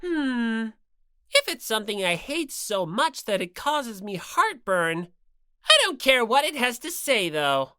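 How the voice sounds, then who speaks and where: A woman's voice: a little high, very animated, a little slow, pushed and bright, heavily acted. A woman voicing a gleefully cruel, bossy male robot bear mascot, taunting and theatrical, for an audiobook. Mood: smug, mocking. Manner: theatrical, taunting, mock-polite then bellowing. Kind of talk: audiobook character voice.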